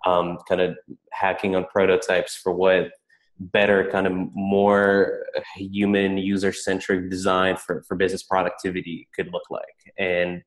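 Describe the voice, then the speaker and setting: Deep-voiced man, echoey room. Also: monotone, a little slow. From Czech Republic. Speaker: interview guest, startup founder. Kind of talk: casual, reflective interview talk.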